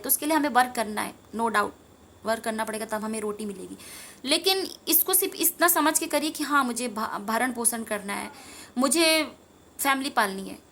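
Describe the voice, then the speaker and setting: A woman's voice: sits high, pushed and bright, a little hiss or hum. A woman giving an informal spiritual talk to viewers, earnest and rambling, repeating phrases for emphasis. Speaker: tarot reader and meditation teacher.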